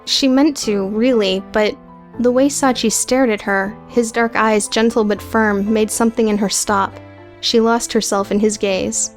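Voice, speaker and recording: A woman's voice: a little high, fairly even intonation, unhurried, soft background music. A woman reading scripted, dramatic audiobook narration.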